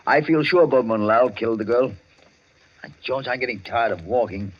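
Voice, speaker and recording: An actor playing a hearty, chatty British gentleman, earnest and persuasive, in old-time radio drama style. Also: medium pitch, normal speed, close to an everyday voice. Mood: exclaiming, pleading. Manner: animated, exclamatory colonial-gentleman talk. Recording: dull, band-limited old radio recording.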